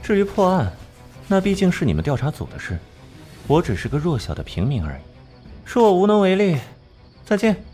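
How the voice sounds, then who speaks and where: An actor voicing a cold, aloof genius detective in an animated drama, lecturing with mocking detachment. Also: male voice, sits high, normal speed, long pauses. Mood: detached. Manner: mocking, lecturing, coolly rational. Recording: music behind the voice.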